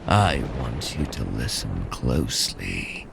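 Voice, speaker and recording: An actor playing a cold, controlling cybernetic colonel in a sci-fi audio drama, measured and calmly commanding. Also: male voice, very deep voice, creaky, heavy background noise, exaggerated voice. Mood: urgent, controlled.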